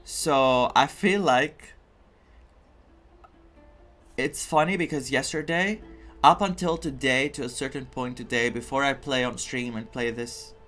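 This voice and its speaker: A man with a medium-pitched voice talks casually and earnestly as a game streamer. He reflects emphatically, with faint music behind him. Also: unhurried, long pauses, fairly bright tone. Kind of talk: casual reaction commentary.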